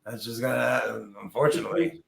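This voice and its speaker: A man's voice: mid-range voice, little pitch movement, fast talker, gravelly, fairly bright tone. A man in his early forties hosting a casual interview, talking in an informal, slangy, rambling way. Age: early 40s. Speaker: podcast host. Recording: echoey, roomy sound.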